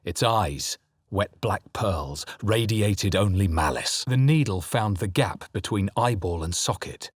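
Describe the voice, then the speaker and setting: A man playing a grave fantasy game adventurer, with solemn, measured, dramatic delivery as he narrates his journey. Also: on the low side, animated, husky, dry recording, clean studio sound. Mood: solemn, intent. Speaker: video game player-character voice.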